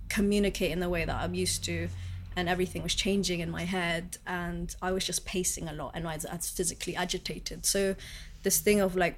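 A woman speaks with a fairly low voice that has a gravelly edge. She is an artist, talking reflectively and haltingly, reaching for her words. She often pauses and restarts. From born in Malaysia, raised in Sharjah, then London from six. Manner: searching, halting speech with many fillers and restarts. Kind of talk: reflective interview talk.

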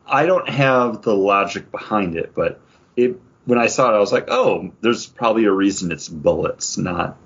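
A man's voice: lowish voice, moderate pace, fairly bright tone, slightly rough, lo-fi sound. A man co-hosting a podcast, chatting casually and thinking aloud with frequent hedges. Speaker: comics podcast co-host. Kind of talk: casual chat about comic books.

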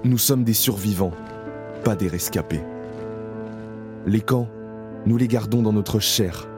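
An actor playing a haunted male camp survivor in a radio drama, speaking in a slow, solemn way. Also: on the low side. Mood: grave. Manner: slow, solemn, measured delivery. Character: adult man, camp survivor, haunted and grave. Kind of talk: radio drama first-person narration. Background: music.

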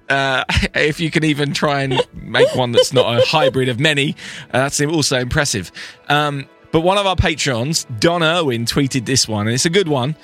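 Lively, bright-voiced British man. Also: fairly high pitch. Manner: chatty, restarts often, laughs easily. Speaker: podcast co-host. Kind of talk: casual podcast chat.

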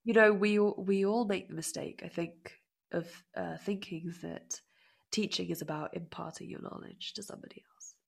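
A woman's voice: fairly low pitch, normal speed, gravelly, husky. A woman in her twenties chatting casually, telling stories with acted-out quotes and frequent laughter. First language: not Dutch. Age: 20s. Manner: animated storyteller, acts out quotes, laughs often. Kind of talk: casual chat.

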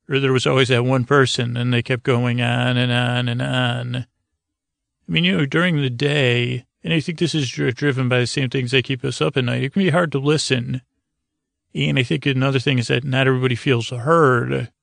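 A man hosting a sleep podcast in a rambling, soothing monologue, meandering and whimsical, trailing off and circling back with gentle self-deprecation. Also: mid-range voice, vocal fry, no room sound, clean studio sound.

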